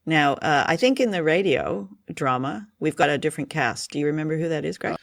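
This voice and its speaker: A man with a fairly high, very creaky voice hosts a relaxed conversational discussion. He talks appreciatively and keenly, in a close, dry sound. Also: a little fast, dry recording. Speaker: podcast host leading discussion. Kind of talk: conversational film and radio discussion.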